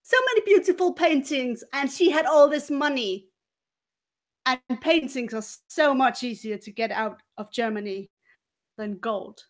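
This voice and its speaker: A woman telling a story in character as a haughty, scheming former cabaret dancer, theatrical and deliberate with dramatic pauses and swells. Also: high voice. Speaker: player in a murder mystery role-playing game.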